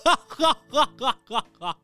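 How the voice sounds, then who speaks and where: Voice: high voice, expressive, unhurried, bright, forceful tone, no echo, soft background music, full character voice. A performer voicing a boisterous rich seal who owns cruise ships, hammy, laughing often and dropping English words. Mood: hearty.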